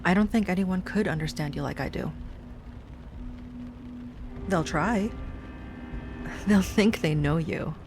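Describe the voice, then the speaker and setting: A woman playing an obsessive, lovesick stalker ex-girlfriend in an intimate audio roleplay monologue, sweet yet menacing, with a breathy delivery that drifts into whispers. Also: on the low side, takes it slowly, pauses often. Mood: tender, possessive. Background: rain and music.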